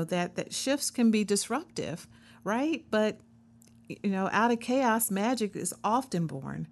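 A woman's voice: medium pitch, darkish tone. A woman in her fifties, a therapist hosting a show, talking through ideas in a conversational, earnest way with frequent asides. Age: 50s. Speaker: therapist and podcast host. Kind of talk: reflective solo talk.

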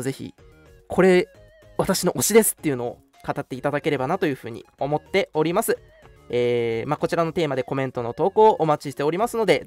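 A man's voice: a little high, creaky, darkish tone. A man hosting a casual radio show, chatting politely and making announcements over background music.

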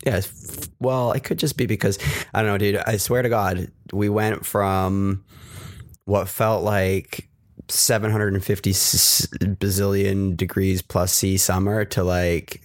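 A man's voice: sits low, little pitch movement, unhurried, no echo, studio quality. A man co-hosting a casual tech podcast, rambling and ranty, swearing now and then. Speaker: podcast co-host. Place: New York. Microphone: close mic with pop filter.

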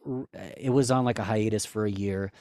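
A man's voice: brisk, a little mellow, no room sound, studio quality. A man guest on a podcast, talking candidly and self-deprecatingly in casual chat, rambling with restarts. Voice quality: higher-pitched for a man.

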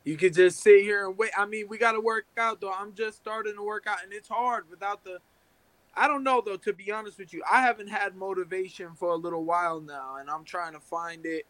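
A laid-back man who fights for a living speaks bluntly and swears without a second thought. His voice is high and bright. The sound is dry and close. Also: steady tone, fairly quick, no room sound, low-quality recording. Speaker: professional fighter. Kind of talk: casual podcast chat.

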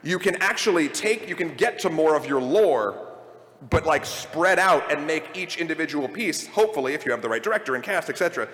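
Male panel host, slightly high voice, opinionated and discursive, recorded live in a reverberant hall. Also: lively intonation, a little fast, fairly bright tone. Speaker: panel host leading a discussion of video game movies. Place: large room at a convention.

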